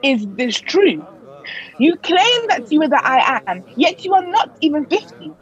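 A woman playing a stern, accusing high priest in an audio play, imperious and formal as an interrogator. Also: big pitch swings, unhurried, muffled recording. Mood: stern, probing. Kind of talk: dramatic audio play acting.

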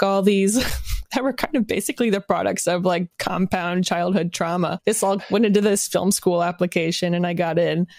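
A woman's voice: fairly low pitch, a little mellow, dry recording, clean studio sound. Woman podcast guest, chatting informally. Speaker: podcast guest, audio drama creator. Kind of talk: casual interview conversation.

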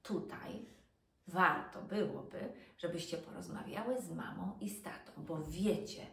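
A woman in her thirties, a doctor and storyteller, giving an educational talk to young children in an expressive way, with rhetorical questions and dramatic pauses. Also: fairly low pitch, gravelly. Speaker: doctor and children's storyteller presenting health tips. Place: small furnished room indoors. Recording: roomy, distant mic with background noise.

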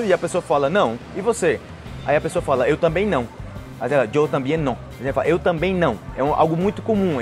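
A man's voice: a little high, darkish tone. A young man with a Brazilian accent teaching a conversational language lesson to camera, explaining with acted example phrases. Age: young adult. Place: outdoors on a patio by trees and a street. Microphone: lapel mic.

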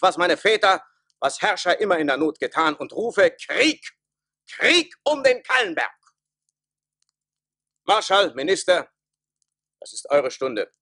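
An actor playing a pompous, commanding emperor in a satirical German radio play, declaiming grandly. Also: male voice, on the high side, bright, forceful tone, heavily acted. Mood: grandly resolute. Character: pompous emperor and officials of a satirical folk tale.

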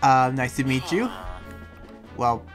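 A person improvising a relaxed demon slayer in a game roleplay, with a hesitant, halting delivery. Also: mid-range voice. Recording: music behind the voice.

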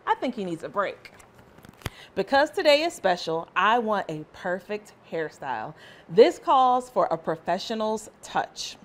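A woman voicing a proud, excitable little girl in a children's storybook, with expressive delivery. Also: medium pitch, a little slow. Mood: proud, excited. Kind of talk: children's storybook read-aloud.

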